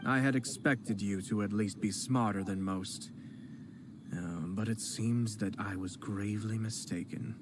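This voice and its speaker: An actor playing a composed male noble commissioner in a game, speaking calmly and politely with a cutting edge. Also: lowish voice, unhurried, over music, lightly acted. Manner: calm, polite, coolly cutting. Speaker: game character. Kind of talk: game cutscene voice acting.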